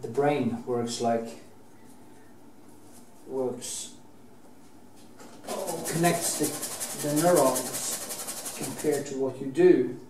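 A middle-aged man with a Norwegian accent, chatting casually and rambling as he paints in his studio, heard from a camera mic some distance away. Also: medium pitch. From Norway. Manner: slow, rambling, with many restarts and fillers. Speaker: painter teaching oil painting. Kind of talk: casual chat while painting. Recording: roomy and distant, faint hiss.

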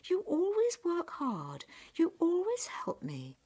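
A woman's voice: very high-pitched, huge pitch swings, slow pace, dark tone, pitched above the performer's own voice, heavily acted. A woman voicing a fond mother in a folk tale, praising and affectionate. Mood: pleased, fond. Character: fond mother praising her hard-working daughter.

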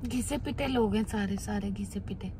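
A woman's voice: medium pitch, normal speed, background noise. A young woman chatting casually and giving bossy, giggly orders as she hosts a prank in a car. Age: young adult. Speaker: prank host. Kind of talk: casual chat while pranking. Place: inside a car.

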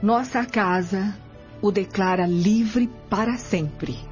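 Woman acting a slow, solemn Roman noblewoman. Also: mid-range voice, music in the background, natural voice. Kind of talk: radio drama acting.

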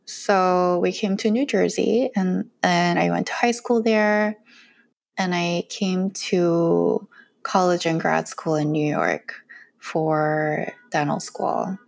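A woman's voice: fairly low pitch, steady tone, takes it slowly, creaky. A young woman from Korea talking casually in an interview, chatty and laughing often, on a close mic at home. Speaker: guest, cooking content creator. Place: small bright room at home, indoors. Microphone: close studio mic with earphones.

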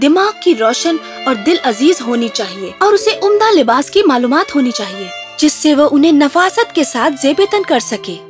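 High-pitched, exacting queen mother. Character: regal, exacting queen mother advising her son. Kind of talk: children's animated fairy tale voice acting. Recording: music under the voice.

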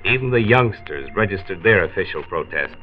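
An actor with an American accent playing a measured county health doctor, narrating a late 1940s radio drama. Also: male voice, sits low, lively intonation, slight background noise. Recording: dull old radio transcription, music behind.

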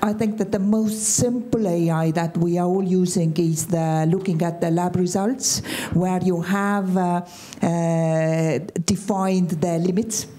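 Older woman, Estonian accent, panel speaker, hesitant, lowish voice, mellow tone, handheld mic. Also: fairly even intonation, measured pace, hardly any pauses. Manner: hesitant, many filler sounds. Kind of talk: conference panel answer. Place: large conference hall stage. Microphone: handheld microphone.

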